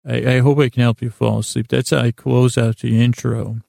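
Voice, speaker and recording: Creaky, mellow man, rambling and mumbly. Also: mid-range voice, flat delivery, normal speed, no echo, clean studio sound. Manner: meandering, mumbly, smushes and stumbles over words; soothing, lulling, friendly. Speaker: host of a sleep podcast. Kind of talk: rambling bedtime monologue to help listeners fall asleep.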